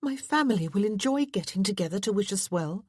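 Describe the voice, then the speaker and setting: Woman voicing an earnest wife, higher and husky. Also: lively intonation, fairly quick, darkish tone, no echo, clean studio sound. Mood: reassuring. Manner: polite, earnest, pleading. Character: earnest, well-mannered young wife in period romance.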